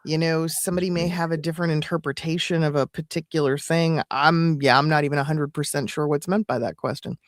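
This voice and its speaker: A woman co-hosting and coaching, explaining things in a measured, casual discussion style. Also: low voice, steady tone, dry recording, studio quality. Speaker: co-host and voice-over coach.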